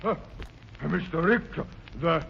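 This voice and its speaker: A frightened, servile henchman in old radio drama. He speaks in a high, slow, stammering voice, halting with fear, on a muffled vintage recording. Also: gravelly, slight background noise. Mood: terrified. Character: dim, frightened male henchman, servile to his master. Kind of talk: 1940s radio thriller acting.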